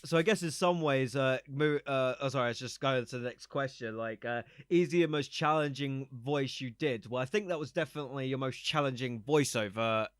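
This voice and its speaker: A man's voice: mid-range voice, a little fast, hardly any pauses, a bit bright, smooth, dry recording, studio quality. A man interviewing guests on a podcast, easygoing and apologetic, sometimes stumbling over his words. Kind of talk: casual interview chat.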